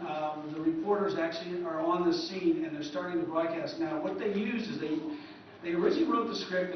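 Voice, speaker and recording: A man's voice: fairly high pitch, a little hiss or hum. Echoey man giving informal talk. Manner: rambling, conversational. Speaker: historian presenting at a convention. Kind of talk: informal lecture. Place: convention presentation room. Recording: echoey hall, distant muffled mic.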